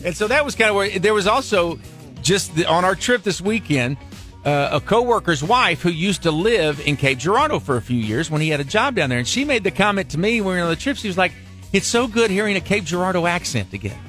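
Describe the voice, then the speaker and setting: A man's voice: sits high, very animated, a little fast, fairly bright tone, faint music behind. A man from Missouri co-hosting a radio morning show, chatty and upbeat, now and then singing a phrase.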